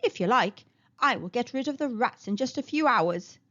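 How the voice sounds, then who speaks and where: A woman voicing a thin, calm male stranger who plays a pipe, speaking plainly. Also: on the high side, moderate pace. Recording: dull lo-fi recording.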